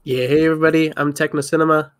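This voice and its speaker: Even-toned man in casual panel talk. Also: fairly high pitch, racing. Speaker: composer and sound designer on a panel. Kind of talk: casual self-introduction.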